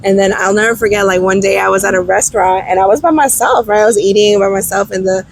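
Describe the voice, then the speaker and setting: A woman's voice: medium pitch, fast talker, creaky, low-quality recording. A woman whose first language is Spanish, a guest telling enthusiastic stories in a casual interview chat. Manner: lively, enthusiastic storyteller. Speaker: guest, travel business founder. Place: outdoors on a beach.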